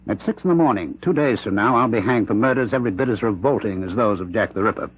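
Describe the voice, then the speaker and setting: An actor playing a condemned professor who claims a killer spirit possesses him, with halting, intense, theatrical delivery in an old-time radio drama. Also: male voice, lowish voice, lively intonation, husky. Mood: menacing, intense. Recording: dull, band-limited radio drama recording.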